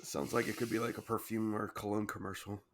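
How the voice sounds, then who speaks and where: A man's voice: fairly low pitch, little pitch movement, a little fast, a little hiss or hum. A young man hosting a casual podcast, joking around and getting over a sinus infection. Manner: jokey, says "y'all" a lot. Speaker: podcast host. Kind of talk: casual chat.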